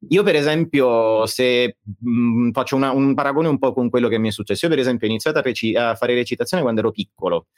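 A man with a mid-pitched voice talks casually as a guest fan dubber. He is halting, restarting his thoughts, in a close dry sound with music behind. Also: no room sound. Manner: halting, self-correcting. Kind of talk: casual chat.

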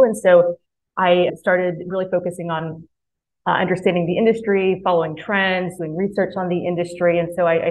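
A woman in her thirties from Mississippi, a podcast guest talking earnestly about her career with frequent restarts. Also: fairly low pitch. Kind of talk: podcast interview. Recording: tinny phone-like line.

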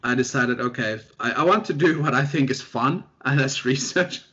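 A young man from Finland, a researcher, chatting in an interview in a relaxed, self-deprecating way with lots of fillers. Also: mid-range voice, normal speed, breathy edge. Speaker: guest researcher. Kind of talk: casual interview chat. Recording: dull lo-fi sound.

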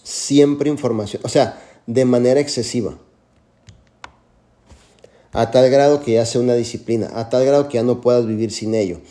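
Man, mellow voice, mid pitch, earnest mentor. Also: fairly even intonation, moderate pace, long pauses. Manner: earnest, repeats filler "este". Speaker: mentor answering questions. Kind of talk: motivational mentoring call.

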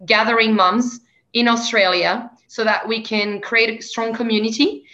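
A woman's voice: on the high side, steady tone, normal speed, bright, forceful tone, lo-fi sound. A woman from France with a French accent, a guest in a casual interview, speaking with many hesitations. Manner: hesitant, many ums and uhs.

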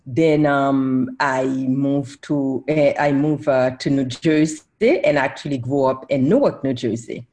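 A woman's voice: extremely low pitch, unhurried, few pauses, a little mellow. A woman in her forties, born in Haiti, speaking as a professor guest on a casual podcast, who laughs often and corrects herself as she goes.

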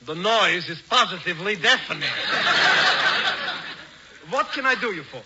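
A man's voice: high voice, lively intonation, moderate pace. A man playing a pompous, wisecracking con-man professor who loves money, in a 1940s radio comedy. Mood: smug, sly. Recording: muffled, noisy 1940s radio broadcast.

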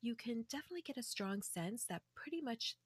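A woman's voice: fairly high pitch, expressive, a little fast, breathy edge, no echo. A woman hosting a solo podcast, talking in a chatty way with casual asides. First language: English. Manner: chatty, with asides and light laughs. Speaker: podcast host. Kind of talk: scripted solo commentary.

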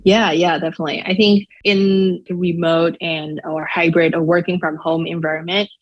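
A woman from Shanghai with a Chinese accent, answering interview questions thoughtfully and filling pauses with "um" and "like". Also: lowish voice, little pitch movement, muffled recording. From Shanghai, China. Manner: thoughtful, many fillers like "um" and "like". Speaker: podcast guest.

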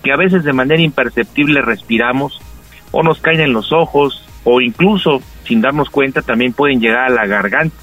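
Calm doctor explaining health. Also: male voice, medium pitch, normal speed, soft background music, low-quality recording. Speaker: doctor giving health advice. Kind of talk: radio health segment guest.

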